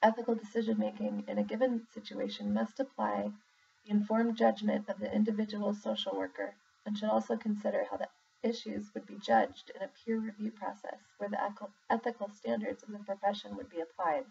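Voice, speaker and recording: A woman reading a formal document aloud in a measured, deliberate way, pausing between phrases. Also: mid-range voice, level tone, vocal fry, dark tone. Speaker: reader, social worker in exam preparation. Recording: muffled, distant mic with faint background noise.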